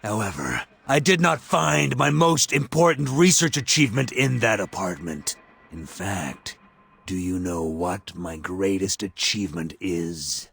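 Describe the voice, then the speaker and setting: A gloating, low-voiced megalomaniac villain. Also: male voice, animated, gravelly, fairly bright tone, exaggerated voice. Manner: theatrical, gloating, drawn-out emphasis. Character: gloating megalomaniac male scientist villain, cold and self-satisfied. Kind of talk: dramatic video game villain monologue. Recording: echoey, music underneath.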